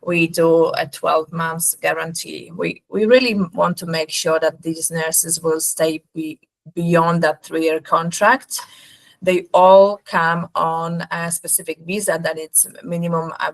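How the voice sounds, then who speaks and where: Low-pitched woman with a Polish accent. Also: fairly even intonation, slow, gravelly, poor audio. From Poland. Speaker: recruitment agency representative presenting. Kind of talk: webinar sales presentation.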